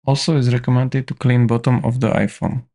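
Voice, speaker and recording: A man's voice: mid-range voice, level tone, a little fast, warm tone. A man giving instructional, step-by-step narration as the presenter of a repair tutorial.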